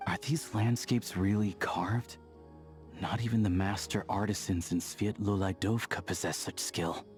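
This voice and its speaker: A man's voice: on the low side, steady tone, unhurried, faint music behind, natural voice. A man voicing a hardy, principled young man of the snowfields in a video game, speaking in an easygoing, plain-spoken way. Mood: impressed, grateful. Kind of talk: video game character voice acting.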